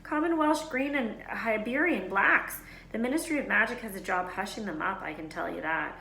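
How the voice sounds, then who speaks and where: A woman's voice: mid-range voice, moderate pace, gravelly, pushed and bright, echoey room, a little hiss or hum, voice pushed up, poor audio. Woman voicing a blunt, know-it-all schoolboy. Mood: matter-of-fact.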